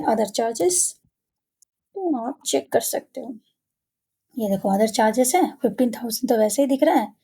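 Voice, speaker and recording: Female trainer, relaxed and warm timbre, slightly high voice, conversational screen-tutorial delivery. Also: breathy edge. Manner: instructional, often checking in with "okay". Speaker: software trainer. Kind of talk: step-by-step screen tutorial, informal spoken explanation.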